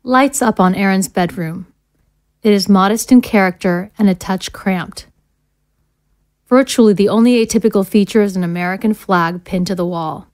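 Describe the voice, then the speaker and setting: A woman's voice: medium pitch, moderate pace, a little mellow. A woman reading scene directions as scripted narration for an audio drama.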